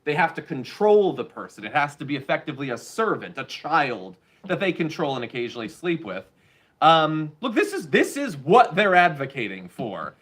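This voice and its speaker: A man's voice: a little high, sing-song, normal speed, fairly bright tone. A man hosting a political commentary show, giving blunt, sarcastic opinions on the news.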